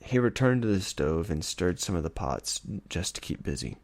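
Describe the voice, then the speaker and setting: A man's voice: deep voice, very animated, normal speed, no room sound. A man reading a story aloud as dramatic scripted narration. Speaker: audiobook reader.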